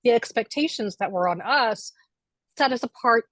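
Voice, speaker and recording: A chatty American woman with a somewhat high voice talks about books at a library event, laughing often. Her voice is recorded close and dry, with little room sound. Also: lively intonation, normal speed, fairly bright tone, no echo. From United States. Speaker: author. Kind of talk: casual conversation.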